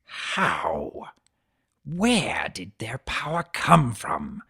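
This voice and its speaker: A man's voice: medium pitch, wildly swooping pitch, very slow, creaky, bright, forceful tone, husky, full character voice. A man voicing an ancient, weakened centaur villain in a story, bitter and enraged, snarling and muttering his words. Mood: furious, anguished.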